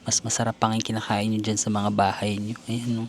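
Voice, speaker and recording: A man's voice: lowish voice, completely flat delivery, fast, a little hiss or hum. A man from the Philippines with a Filipino accent, a travel vlogger chatting casually and laughing often, mixing Tagalog and English in a hostel common area. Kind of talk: casual vlog chat.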